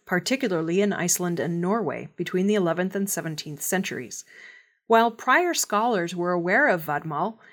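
A woman reading scripted news in a measured, formal delivery. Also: on the low side. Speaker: news reader.

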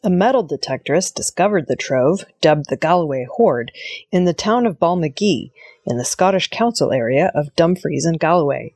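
A female news reader delivers scripted narration. Her voice sits a little low and has a husky grain. The recording is very dry, without room sound. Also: a little mellow, no echo.

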